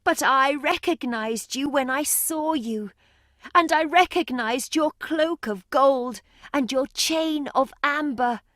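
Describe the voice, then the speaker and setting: A woman's voice: high voice, slow, fairly bright tone, no room sound, studio quality. A woman playing a poor, weary, loving beggar mother in a storybook tale, emotional and pleading. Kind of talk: dramatised storybook reading.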